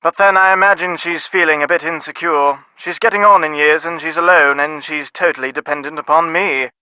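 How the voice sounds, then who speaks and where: An actor playing a busy, reserved middle-aged British diplomat in a video game, speaking in a formal, clipped, wordy way. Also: male voice, on the high side, steady tone, brisk, sharp tone, clean tone, no echo, poor audio. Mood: exasperated, reserved.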